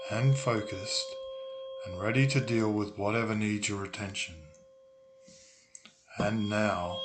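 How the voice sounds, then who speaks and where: A man narrating a guided meditation in a soothing, deliberate way with long pauses. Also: fairly low pitch, heavy background noise. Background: humming tuning forks.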